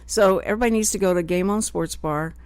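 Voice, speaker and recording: A woman hosting a live local radio talk show, chatting in an animated way and often cutting in. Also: medium pitch, fast, smooth, no room sound. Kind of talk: casual live radio chat. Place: radio studio.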